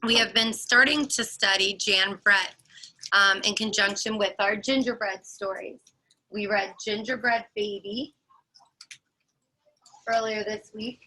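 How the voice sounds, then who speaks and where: A woman's voice: mid-range voice, measured pace, pushed and bright, low-quality recording. A woman preschool teacher leading a virtual morning class, explaining things to young children in simple steps. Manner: instructive, addressing a group of small children.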